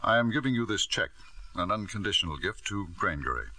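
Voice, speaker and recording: An actor playing a ruthless self-made millionaire father, narrating and acting in a 1940s radio drama with a crisp, sardonic delivery. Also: fairly low pitch, lively intonation, a little fast, bright, forceful tone, no echo. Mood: cool menace. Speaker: narrator and lead character. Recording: dry old radio broadcast.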